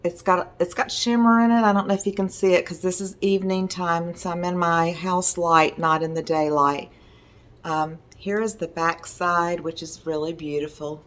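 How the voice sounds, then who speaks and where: A middle-aged woman with a hoarse voice, chatting casually as a resin art teacher while showing her work at home. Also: on the low side, fairly even intonation, moderate pace. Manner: chatty, enthusiastic, meandering. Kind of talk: casual video talk showing artwork.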